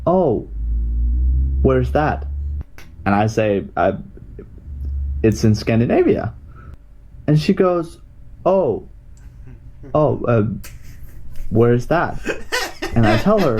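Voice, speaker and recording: A man from Denmark, telling an anecdote in measured English. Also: very animated, dark tone, low-quality recording. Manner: measured storyteller, mimics others in a high voice. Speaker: interviewee.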